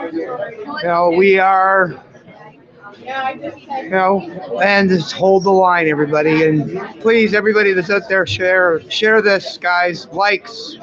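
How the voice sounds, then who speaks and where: Man, hoarse, high-pitched, rambling live-stream talk, muffled phone audio, crowd behind. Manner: rambling, with many fillers and restarts. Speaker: livestreaming independent journalist. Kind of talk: casual live stream commentary. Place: outdoors among a crowd near a press conference.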